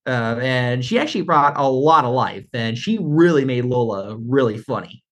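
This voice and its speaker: A man in a casual fan discussion on a podcast, speaking in a halting way with many fillers. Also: mid-range voice, animated, a bit bright. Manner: halting, filler-heavy delivery. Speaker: podcast co-host.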